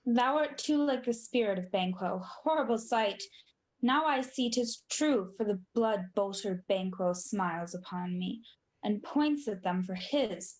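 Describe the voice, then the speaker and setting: A woman's voice: mid-range voice, animated, unhurried, lightly acted. A woman playing a proud, demanding tyrant king, declaiming Shakespeare in a radio play. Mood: defiant, agitated.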